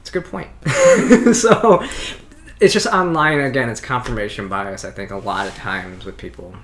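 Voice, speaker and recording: Chatty woman with a fairly deep voice. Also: big pitch swings, moderate pace. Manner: chatty, laughs often, tells anecdotes. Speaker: host. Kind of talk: casual reaction chat.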